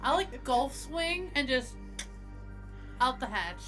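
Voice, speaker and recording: Woman, raised pitch, wry joking player, casual tabletop banter, faint music in background. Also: expressive, takes it slowly, fairly bright tone. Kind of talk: casual banter during a roleplaying game.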